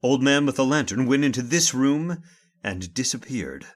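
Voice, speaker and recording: A man playing an urgent, commanding gentleman master in an old-time radio horror drama. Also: medium pitch, lively intonation, normal speed, natural voice. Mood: determined. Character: urgent, commanding gentleman trapped with his servant.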